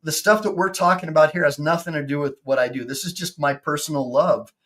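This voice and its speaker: Excitable man in his fifties, stammering. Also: on the high side, quick pace. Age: 50s. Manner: excitable, stammering restarts, frequent laughs. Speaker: personal injury attorney and show host. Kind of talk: casual video-call chat.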